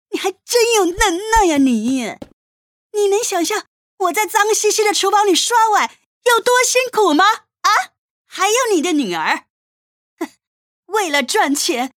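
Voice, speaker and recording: A woman's voice: extremely high pitch, fairly bright tone, no room sound, studio quality. A woman playing a furious, embittered wife and mother in a radio drama, scolding and sarcastic. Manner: scolding, sarcastic, foul-mouthed.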